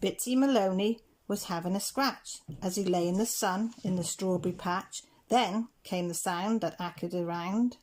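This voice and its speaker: A woman reading rhyming picture-book stories aloud in a measured, rhythmic way. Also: medium pitch, a little mellow, slight background noise. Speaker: children's story reader.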